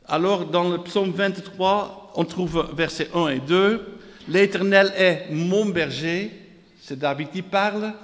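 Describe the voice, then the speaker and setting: Dutch-accented preacher in a reverberant hall. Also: male voice, high voice. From Netherlands. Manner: reads scripture aloud in quotes; repeats phrases in rhythmic lists. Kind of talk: sermon.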